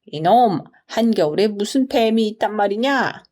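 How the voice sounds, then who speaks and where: Woman plays stubborn bully of a magistrate in a folktale, imperious, demanding, with big swings in pitch. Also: lively intonation, normal speed, darkish tone, natural voice. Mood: angry. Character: grumpy, stubborn, bullying male village magistrate. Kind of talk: storybook character reading.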